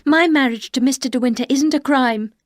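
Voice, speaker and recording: A woman's voice: fairly quick, dry recording, studio quality, lightly acted. A woman doing a pleading timid young wife. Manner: high, pleading, raised.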